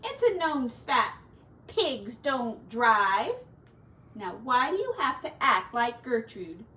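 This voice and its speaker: A woman's voice: on the high side, very animated, slow, bright, forceful tone, exaggerated voice. A woman reading a storybook and voicing an exasperated farm woman, scolding and fretting dramatically. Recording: distant mic with faint background noise.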